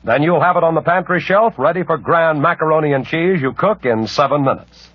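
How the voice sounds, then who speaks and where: A man's voice: on the high side, a little fast. An American man reading a scripted 1940s radio commercial as announcer. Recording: muffled 1940s radio recording.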